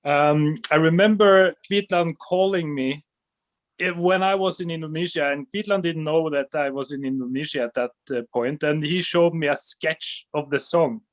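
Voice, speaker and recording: A man's voice: on the high side, normal speed, no room sound, muffled recording. Upbeat man, dry close sound. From Norway. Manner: animated storyteller, repeats words when excited. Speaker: documentary director. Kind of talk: casual interview storytelling over video call. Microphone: phone or laptop mic on a video call.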